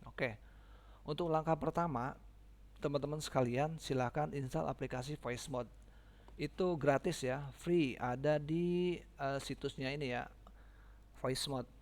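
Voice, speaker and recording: A man giving a casual, informal step-by-step software tutorial, often checking in with his listeners. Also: a little high, a little slow.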